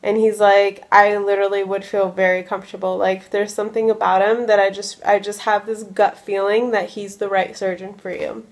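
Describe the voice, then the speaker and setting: A woman's voice: mid-range voice, little pitch movement, moderate pace, hardly any pauses. A woman in her early twenties chatting casually in a vlog, drowsy from medication, rambling with lots of likes and ums. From United States. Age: 20s. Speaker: vlogger.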